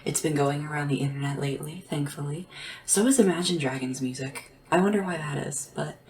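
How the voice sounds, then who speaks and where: A woman speaking softly and whispering in an ASMR roleplay as a polite, hesitant, deferential sleep assistant to royalty. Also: sits low, fairly quick, far from the mic. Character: nervous, devoted royal sleep assistant to a dragon ruler.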